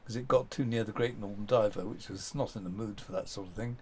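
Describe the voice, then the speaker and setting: A man's voice: on the low side, quick pace, husky. An older man reminiscing in an interview, telling rambling, anecdotal stories from his past. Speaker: documentary subject.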